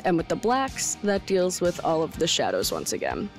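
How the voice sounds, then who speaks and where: A woman's voice: fairly low pitch, wildly swooping pitch, moderate pace. A young woman giving an informal, lightly wry tutorial narration. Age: young adult. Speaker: tutorial presenter. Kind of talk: video editing tutorial narration. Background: background music.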